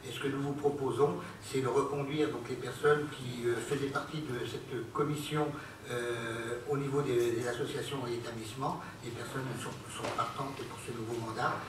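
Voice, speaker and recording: A man, an elected local official, formally presenting a council report in a halting, word-searching way. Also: medium pitch, fairly even intonation. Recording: very echoey hall, distant mic, noisy.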